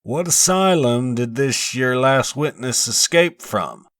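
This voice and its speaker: A man voicing a coarse jury foreman of sixty in a story, with a slow, pointed, sardonic delivery. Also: medium pitch, animated, fairly bright tone, dry recording, studio quality, voice pushed up. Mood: mocking. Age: 60s. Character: tall, bearded, coarse foreman of sixty, mocking.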